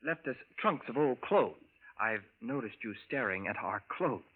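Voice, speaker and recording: A man's voice: medium pitch, expressive, normal speed, husky. An actor playing a polite, secretive male visitor from the future, speaking carefully and formally in 1940s radio style. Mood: careful, evasive. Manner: careful, formal, precise, few contractions. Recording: narrow, muffled vintage radio recording.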